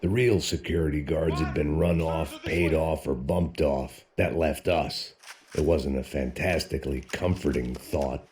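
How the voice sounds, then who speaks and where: An actor playing a weary, cynical middle-aged American ex-cop bodyguard in a noir video game, deadpan and sardonic. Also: male voice, a bass voice, a little slow, slight background noise. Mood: wry, brooding. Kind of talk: hard-boiled noir game narration and dialogue.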